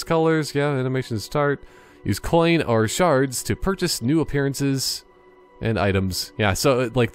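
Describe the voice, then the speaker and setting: A man giving casual, rambling gameplay commentary with playful, self-mocking asides, sometimes reading on-screen text aloud. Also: medium pitch. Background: game music.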